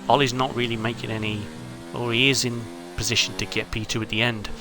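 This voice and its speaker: A man's voice: mid-range voice, little pitch movement, fast talker, over music. A man giving live, chatty commentary on an online racing league, joking about the drivers and reading out chat messages. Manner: chatty, wry, reads chat aloud.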